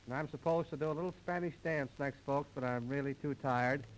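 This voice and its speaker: A man's voice: mid-range voice, brisk, a little hiss or hum, low-quality recording. An American man doing 1930s radio comedy patter and mock recitation in a folksy, mock-formal showman's manner. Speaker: comedian in a singing comedy duo. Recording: old 1930s radio transcription disc, music behind.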